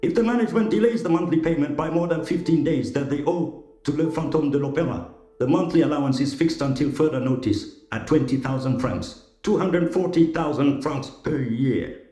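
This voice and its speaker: A man's voice: a little high, fairly quick, mellow, roomy sound, lightly acted. A man playing a grave, formal opera house manager in an audio drama, speaking solemnly and with an ominous air. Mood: formal, reading. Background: plucked guitar music.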